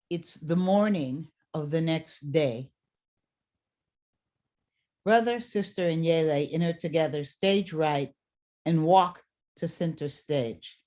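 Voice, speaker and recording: A woman announcing and reading stage directions for a play in a formal, measured, scripted manner. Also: low voice, a little mellow. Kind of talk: formal introduction and scripted narration.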